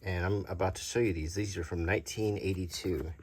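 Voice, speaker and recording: A middle-aged man giving a casual show-and-tell as a hobby collector, talking softly in an unhurried, halting way. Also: deep voice, fairly even intonation, slight background noise. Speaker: hobby collector presenting a video.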